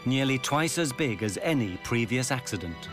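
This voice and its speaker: A man reads documentary narration in a measured, grave way. A strong musical score runs beneath his voice. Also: medium pitch, lively intonation, over loud music.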